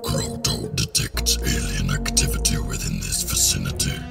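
A man playing a gruff rhino-headed alien police officer in an audio drama, growling clipped, imperious commands. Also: wildly swooping pitch, normal speed, over loud music, full character voice. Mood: commanding. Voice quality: very deep, gravelly growl. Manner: clipped, stilted, commanding speech.

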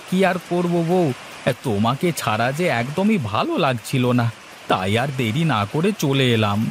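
A man voicing a soft-hearted, food-loving village husband in a cartoon, folksy and exclaiming, with sheepish stammers. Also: medium pitch, sing-song, a little slow, a little hiss or hum. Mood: sheepish, affectionate. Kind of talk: cartoon voice acting.

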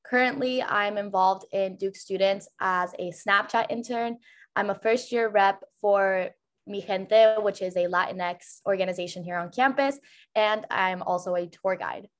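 A woman's voice: mid-range voice, unhurried. A young woman freshman, born and raised in Argentina, introducing herself on a video call in an upbeat, prepared way. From Argentina, raised there. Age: young adult. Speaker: college freshman panelist.

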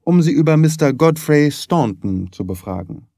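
A man playing a composed, shrewd gentleman detective in an audiobook, polite, precise and insistent. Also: on the high side, animated, normal speed, dry recording, studio quality, voice pushed up. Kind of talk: audiobook character acting.

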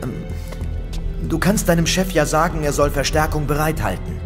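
Ein Schauspieler spielt in einem Hörspiel einen ernsten, loyalen Verbündeten eines Inspektors, natürlich und eindringlich gesprochen. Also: male voice, fairly high pitch, normal speed, natural voice. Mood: earnest, urgent. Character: earnest, loyal male ally of the inspector. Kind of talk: naturalistic audio drama acting. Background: music.

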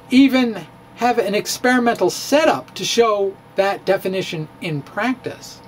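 Slow wry man reading his own blog. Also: sits high, a little hiss or hum. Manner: wry and theatrical, with playful asides. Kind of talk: dramatic reading of a blog post. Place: small room in front of bookshelves. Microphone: studio mic on stand in front of him.

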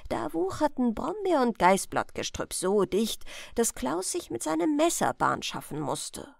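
A woman reading a fairy tale as a bedtime audiobook, in an expressive, measured storytelling manner. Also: mid-range voice, creaky, no echo, studio quality. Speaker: audiobook reader.

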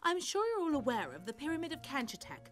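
A young, self-assured cartoon scholar. Her high voice moves expressively as she makes her points precisely and earnestly. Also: wildly swooping pitch, fast talker. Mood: confident. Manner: precise, assertive. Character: confident, earnest young female academic. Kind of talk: cartoon drama acting.

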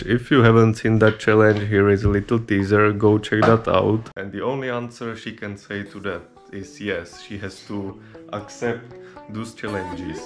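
A young man talking in a casual home vlog, dry and mildly teasing. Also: on the low side. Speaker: vlogger. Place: small living room, indoors.

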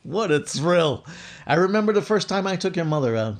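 A man's voice: sits high, very animated, a little fast. A man playing a bumbling, sentimental working-class husband and father in a 1950s radio comedy, folksy and blustery. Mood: wistful. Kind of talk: 1950s radio sitcom acting.

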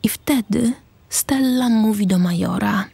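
A woman narrating a radio drama deliberately, pausing between short phrases. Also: mid-range voice, vocal fry, warm tone, breathy edge, dry recording.

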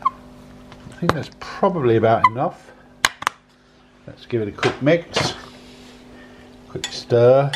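A man in his sixties talks through a recipe at home in an unhurried, casual way. His voice is medium in pitch. The clicks and clatter of dishes and cutlery are clearly heard around him. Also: expressive, very noisy. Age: 60s. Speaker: home cook, presenter. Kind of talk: casual cooking demonstration. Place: home kitchen, indoors.

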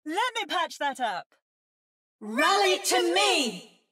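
An adaptive female robot from a video game. Her voice is high and she speaks slowly, with precise, theatrical delivery, as if taking on the roles of other heroes. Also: sing-song, bright, forceful tone, full character voice. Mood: poised, then battle cry.

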